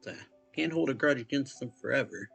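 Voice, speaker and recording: A man giving casual game commentary, low-key and often trailing off in half-finished remarks. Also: lively intonation, quick pace, gravelly, fairly bright tone, faint music behind. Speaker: reaction video streamer.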